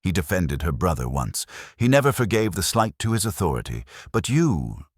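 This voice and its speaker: A man voicing a stern, imperious detective who speaks formally and deliberately in an audiobook mystery. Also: deep voice, very animated, slightly rough, no echo, studio quality, pitched above the performer's own voice, heavily acted. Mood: stern, indignant. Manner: formal, deliberate, pronouncing judgment. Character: stern, imperious male genius detective, coldly accusing.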